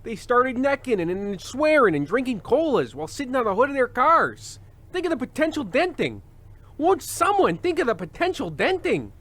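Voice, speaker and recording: A man playing a fretful, animated variety host. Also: extremely high pitch, moderate pace, exaggerated voice. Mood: alarmed. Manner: earnest, hesitant, fretful. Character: anxious, prim, fading male variety show host. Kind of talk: old-time radio comedy acting.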